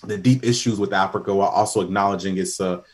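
A man from Ghana chatting casually, telling stories with his voice climbing high as he gets into them. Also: quick pace. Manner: animated storyteller, voice rising high in anecdotes. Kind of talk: casual conversation.

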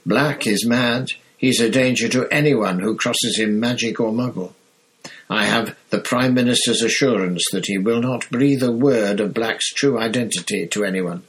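A man's voice: on the low side, moderate pace, fairly bright tone, lightly acted, poor audio. A man voicing an irritable, pompous middle-aged minister.

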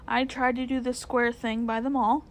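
A woman voicing a humble, honest working-class male cab driver with a Cockney accent, halting, deferential and plain-spoken, in a storybook reading. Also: on the high side, flat delivery, slight background noise, close to an everyday voice. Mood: humble, earnest.

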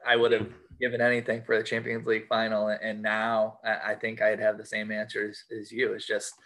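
An American man with a fairly low voice and little movement in pitch. He chats casually as a guest in an interview, circling back over his phrases in a halting way. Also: monotone, a bit bright, rough recording. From United States. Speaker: podcast guest, sports card collector.

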